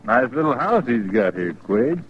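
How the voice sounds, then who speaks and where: A man's voice: mid-range voice, very animated, moderate pace, over music, natural voice. An actor playing a taunting Western outlaw henchman with a rural American drawl in an old radio western. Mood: cocky, watchful. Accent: rural American West. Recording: noisy, muffled old radio recording.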